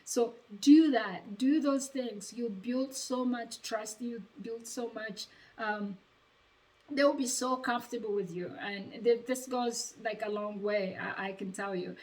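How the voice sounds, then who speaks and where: A woman's voice: a little high, takes it slowly, darkish tone. A young woman from Uganda with a Ugandan accent, giving casual, encouraging advice in a conversational vlog style. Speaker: vlogger sharing sales advice. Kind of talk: casual personal advice talk. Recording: distant microphone.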